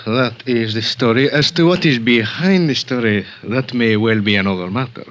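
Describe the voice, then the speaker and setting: A man playing a polite but firm, suspicious Mexican police lieutenant with a Mexican accent in 1950s radio drama, formal and measured, on a dull vintage recording. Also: medium pitch, fairly bright tone, natural voice.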